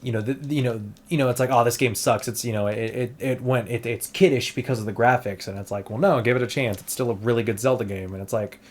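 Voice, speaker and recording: A man's voice: mid-range voice, steady tone, quick pace, few pauses. A man streaming an emulator test, chatting casually and rambling with many restarts, saying he is losing his voice. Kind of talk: casual gameplay stream chat.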